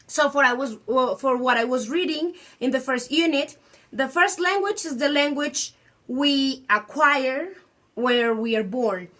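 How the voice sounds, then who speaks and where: Colombian woman, twenties, high voice, native spanish speaker, thoughtful, pauses to find words. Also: a bit bright. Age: 20s. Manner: thoughtful, searching for words with fillers. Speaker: English teacher. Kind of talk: personal talk on language learning.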